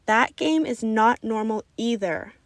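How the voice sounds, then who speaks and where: A woman's voice: a little high, measured pace, dry recording. A woman voicing a judgmental young schoolgirl with a blunt, insistent manner. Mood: annoyed, dismissive.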